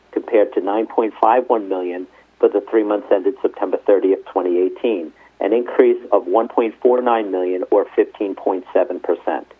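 A man's voice: on the low side, breathy edge. A man formally reading out a scripted financial report as a company executive, going through figures methodically. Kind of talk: scripted earnings report read aloud. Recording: muffled narrow phone conference line.

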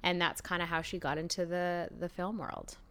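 A woman's voice: lowish voice, little pitch movement, normal speed, sharp tone, clean tone. A woman co-hosting a podcast, chatting casually and reading out background facts with giggly, jokey asides. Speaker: podcast co-host.

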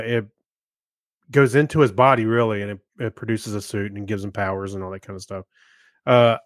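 A man with an average-pitched voice chats as a podcast co-host. He reviews things casually, pausing and searching for words as he goes. The recording is dry, without room echo. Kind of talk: casual film review chat.

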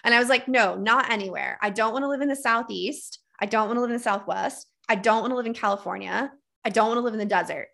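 Texas-born woman now w/ a minnesota accent, bright voice, chatty, acts out what she said back then. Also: fairly high pitch, quick pace, vocal fry. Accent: Minnesota, with traces of Texas. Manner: animated, lots of "like", acts out quoted lines. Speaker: guest, trauma educator and coach. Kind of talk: casual storytelling conversation.

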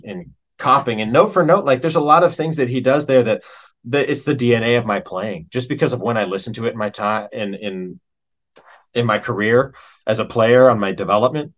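A man's voice: mid-range voice, fairly bright tone. Enthusiastic, rambling man chatting casually. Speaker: musician, interview guest. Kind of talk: casual interview chat.

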